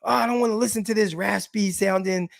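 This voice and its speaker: Sports stream host, male, raspy, slangy and direct, pitched high, close dry mic. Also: fairly even intonation, brisk, no room sound. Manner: loose, slangy, addresses viewers directly. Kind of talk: casual live-stream sports talk.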